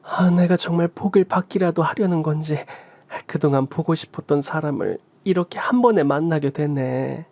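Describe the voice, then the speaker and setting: A man voicing a calm, remorseful middle-aged birth mother who speaks softly and apologetically in a story drama. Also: fairly high pitch, moderate pace, gravelly, dry recording, pitched above the performer's own voice. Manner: soft, apologetic. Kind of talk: story radio drama reading.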